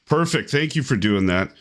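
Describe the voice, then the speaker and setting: A man's voice: mid-range voice, animated, unhurried, slight background noise. A man hosting and commentating a live online golf game tournament in upbeat play-by-play.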